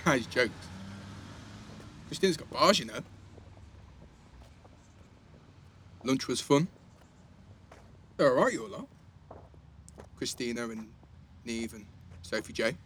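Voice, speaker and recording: Clear background noise of passing vehicles sits behind a teenage lad in a naturalistic audio play. He is chatty and slangy, always ready to tease, in a medium-pitched voice. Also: expressive, measured pace, pauses often, a bit bright. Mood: chirpy, chatty. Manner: casual, slangy, teasing. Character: Charlie, chatty, teasing teenage boy in care. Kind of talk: naturalistic audio drama acting.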